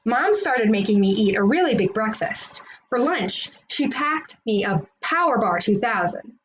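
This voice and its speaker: A woman's voice: fairly high pitch, animated, measured pace, fairly bright tone, a little hiss or hum, poor audio. A woman reading a children's storybook aloud, narrating expressively in the words of a school kid. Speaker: children's read-aloud host.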